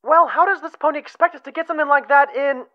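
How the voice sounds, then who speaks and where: An actor playing an excitable, anxious cartoon pony sidekick who sounds shrill, flustered and prone to stammering. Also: quick pace, dull audio, close to an everyday voice. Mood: worried. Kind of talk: amateur cartoon audio drama acting.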